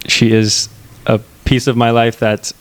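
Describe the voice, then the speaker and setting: A man's voice: medium pitch, level tone, unhurried. Playful young man hosting a podcast. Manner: playful, laughs often, rambling. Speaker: podcast host. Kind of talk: casual podcast chat. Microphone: headset.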